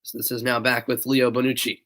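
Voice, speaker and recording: A man's voice: medium pitch, fairly quick. Young guy doing live play-by-play, bit stuffed up, chatting with his viewers between plays. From northeastern United States. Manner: steady play-by-play, casual chat with viewers. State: stuffy nose. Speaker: play-by-play commentator. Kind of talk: live amateur sports commentary.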